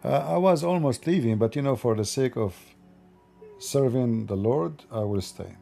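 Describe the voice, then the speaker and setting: A man's voice: medium pitch, animated, normal speed, creaky, dark tone, faint music behind. A man hosting a live religious debate stream, blunt and emphatic, sounding tired. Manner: blunt and emphatic, loose grammar.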